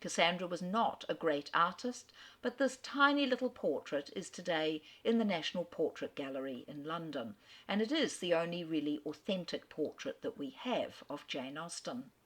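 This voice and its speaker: A woman's voice: low-pitched, expressive, moderate pace. A woman from Australia telling literary history in an articulate, storytelling lecture manner, with the odd filler. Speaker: speaker on famous authors. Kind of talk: lecture-style literary talk.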